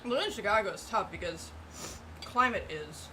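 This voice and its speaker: A woman's voice: medium pitch, moderate pace. Dry-joking woman chatting while she eats. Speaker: co-host eating on stream. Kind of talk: casual chat. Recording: steady background noise.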